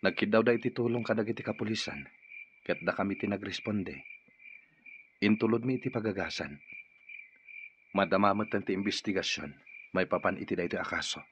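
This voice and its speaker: An actor with a Filipino accent playing an earnest, steady policeman in a radio drama. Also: fairly low pitch, brisk, long pauses, lo-fi sound, a little hiss or hum, natural voice.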